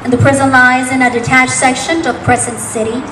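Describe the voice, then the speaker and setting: A woman's voice: sits high, flat delivery, a little fast, music in the background. A woman reading scripted narration as part of a dramatic reading. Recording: echoey reverberant room, clearly noisy.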